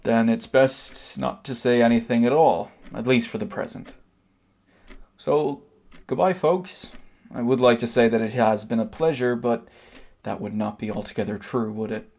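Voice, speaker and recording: An Irish man acting a composed, sardonic middle-aged emigrant in a stage drama, speaking in measured, formal phrases. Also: medium pitch, creaky, darkish tone, natural voice. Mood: dry farewell.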